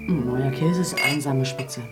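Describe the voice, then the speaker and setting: An actress playing a brisk, wry woman journalist who asks direct questions in a naturalistic radio drama. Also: rumbling low, over music. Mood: wry, cool. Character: assertive Parisian woman journalist, wry and probing.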